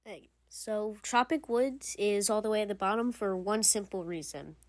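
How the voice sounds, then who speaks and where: A woman's voice: medium pitch, moderate pace, slight background noise. A young woman hosting a gaming channel, giving casual, rambling game commentary full of fillers and restarts.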